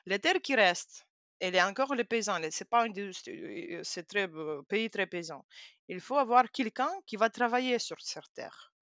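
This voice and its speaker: A Ukrainian woman whose first language is Russian speaks in a bright, forward voice. She explains things in animated, halting French, pausing often on fillers. The sound is dry, with no room echo. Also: mid-range voice. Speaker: guest. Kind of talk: casual interview talk.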